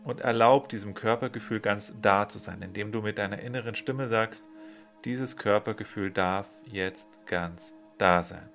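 A man leading a guided meditation, giving slow, soothing instructions with long pauses. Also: on the low side, vocal fry. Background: soft instrumental music.

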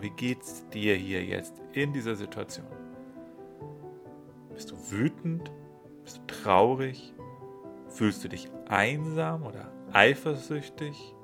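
A man leading a guided meditation, giving slow, soothing instructions with long pauses. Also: medium pitch, gravelly. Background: soft instrumental music.

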